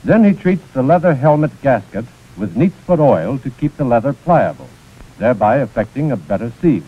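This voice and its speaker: A man's voice: medium pitch, big pitch swings, moderate pace, husky. An American man narrating a scripted 1940s instructional film in a precise, formal manner. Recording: muffled, scratchy 1940s film soundtrack.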